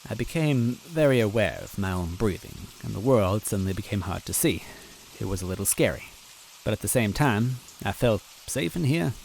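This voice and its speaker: A man narrating a story in first person in a wry, measured way. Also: fairly low pitch, expressive, gravelly, darkish tone, a little hiss or hum, poor audio. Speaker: story reader.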